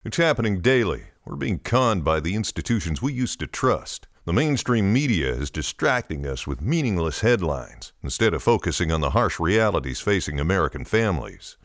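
Urgent, lively man reading an ad. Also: creaky, no room sound, clean studio sound. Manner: urgent, persuasive pitch. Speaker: ad announcer. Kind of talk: scripted radio ad read.

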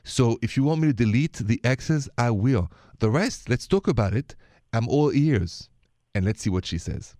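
A man's voice: mid-range voice, lively intonation, normal speed, dark tone, dry recording, clean studio sound. A man from Paris with a French accent, hosting a call-in radio show and giving relationship advice in a conversational, direct way. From Paris, France.

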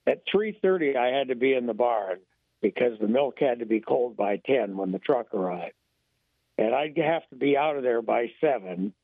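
A hoarse older man is calling in to a talk radio show. He tells his story in a folksy, indignant way. The sound is thin and dry, coming down a phone line. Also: medium pitch, dry recording. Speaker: radio caller.

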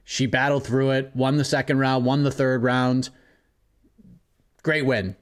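A man co-hosting a sports podcast in casual chat, giving quick, opinionated takes. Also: medium pitch, little pitch movement. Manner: quick, opinionated fight talk. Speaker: podcast co-host.

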